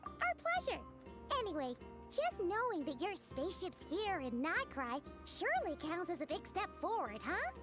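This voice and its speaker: A tiny, bubbly fairy companion with an extremely high, squeaky little-kid voice. The delivery is boastful and cheerful, leaping around in pitch like a game mascot, with prominent music behind it. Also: female voice, very animated, moderate pace. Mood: proud, cheerful. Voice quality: squeaky, childlike. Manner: bubbly, refers to self in third person. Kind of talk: video game character dialogue.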